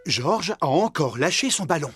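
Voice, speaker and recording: A man's voice: a little high, very animated, moderate pace. A man as the friendly storybook narrator of a children's cartoon. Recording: loud music behind.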